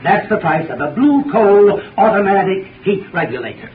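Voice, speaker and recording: A man giving a formal, deliberate 1930s radio advertising talk as an expert. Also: sits high, very animated. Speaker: heating expert in a sponsor spot. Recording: phone-like, echoey, distant, noisy 1930s radio recording.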